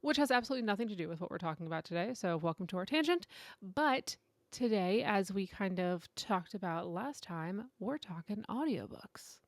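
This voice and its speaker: A woman's voice: medium pitch, animated, moderate pace, no room sound. Informal woman podcast co-host, close dry sound. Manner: informal and conversational, often giggly. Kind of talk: casual chat.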